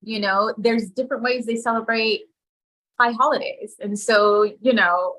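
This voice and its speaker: A woman's voice: on the high side, steady tone, normal speed, fairly bright tone, rough recording. A woman from Israel who moved to America young, speaking casually as an interview guest, informal and often thinking aloud. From born in Israel, moved to America as a child. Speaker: guest, community leader and activist.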